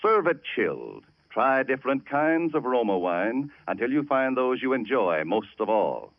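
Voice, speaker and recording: A man delivers an old-time radio advertisement as an announcer. His mid-pitched voice is lively and emphatic, with deliberate salesmanship. The recording is dull. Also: dry recording. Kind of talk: 1940s radio advertisement reading.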